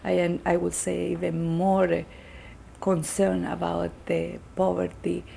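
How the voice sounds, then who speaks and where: A woman's voice: on the low side, little pitch movement, a little slow, vocal fry, warm tone, slight background noise. A middle-aged woman from Chile, a native Spanish speaker with a Chilean Spanish accent, answering interview questions in an earnest, thoughtful way. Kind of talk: reflective personal interview answers.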